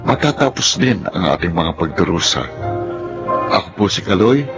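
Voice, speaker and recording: A man's voice: sits low, big pitch swings, moderate pace. A man playing a grateful, emotional young nephew in a Filipino radio drama. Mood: reflective. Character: grateful, emotional young adult nephew, once an orphaned sufferer. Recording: music bed behind voice.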